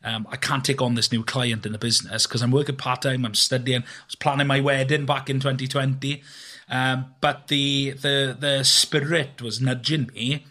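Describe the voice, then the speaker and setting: A man in his thirties tells his own story in a bright, forward voice. He talks earnestly and rambles, with plenty of ums and restarts, and his pitch sits in the middle. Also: flat delivery, normal speed. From Wales. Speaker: guest, filmmaker and business owner. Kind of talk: conversational interview.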